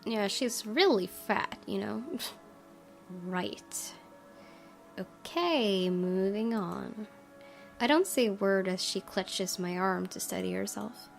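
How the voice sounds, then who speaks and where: A woman game streamer reading a visual novel aloud as expressive narration. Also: medium pitch, takes it slowly, creaky, soft background music.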